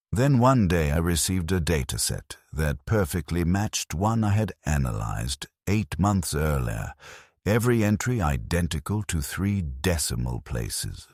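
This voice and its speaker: A man reading scripted first-person narration in a measured, somber and reflective manner. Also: rumbling low, a little mellow, husky, no echo, clean studio sound.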